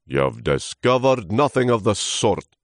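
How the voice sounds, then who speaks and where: An ancient, grumpy tree trunk from a storybook played by a man. It speaks very slowly and pompously, with weary groans and lofty scorn, swinging widely in pitch. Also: expressive, no echo, clean studio sound. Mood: scornful.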